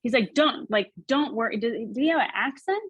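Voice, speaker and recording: A woman working as a psychic medium, relaying messages in a halting, repetitive, animated way. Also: a little high, slightly rough, no echo. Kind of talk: spoken reading, relaying messages in quotes.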